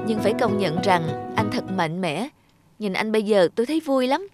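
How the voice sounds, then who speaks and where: Gentle young florist voiced by a woman, music behind. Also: mid-range voice, expressive, normal speed, natural voice. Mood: happy, admiring. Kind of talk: cartoon fairy-tale dubbing.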